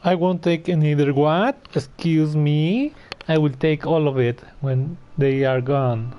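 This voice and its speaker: A man's voice: on the high side, slow pace, warm tone, dull audio. A man giving casual, slangy gameplay commentary as a streamer, muttering his reactions. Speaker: game streamer.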